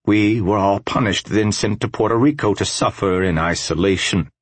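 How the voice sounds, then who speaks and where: A male narrator plays an older man cast out of his faith, in an audiobook drama. The voice is very deep and husky, grave and deliberate, with a measured solemnity. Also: no echo. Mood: solemn, restrained anger.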